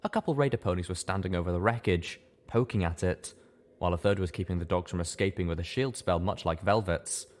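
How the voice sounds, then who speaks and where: Low-voiced man narrating an adventure story. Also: a little fast. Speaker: narrator of a reading show. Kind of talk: dramatic scripted story narration.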